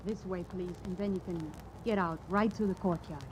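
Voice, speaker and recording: An actress playing a kindly, practical jail matron in an old-time radio drama. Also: female voice, on the low side, steady tone, normal speed, noisy background, close to an everyday voice. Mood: businesslike. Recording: old radio recording, very loud music.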